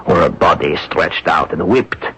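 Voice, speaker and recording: An actor playing an anxious but resolute minister in a wartime underground, grave and urgent, in a radio drama. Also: male voice, low-pitched, animated, a little slow, a bit bright, slightly rough, heavily acted. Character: anxious, resolute Protestant minister in the French Resistance. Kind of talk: old-time radio drama acting. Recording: dull lo-fi radio broadcast recording.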